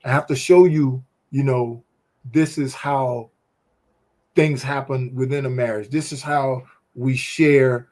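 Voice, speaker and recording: A man co-hosting a relaxed, faith-based talk show, with a voice sitting in the ordinary middle range. He speaks with emphatic, preachy energy, building points in animated runs as if sharing a lesson. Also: mid-range voice, slow pace. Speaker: podcast co-host.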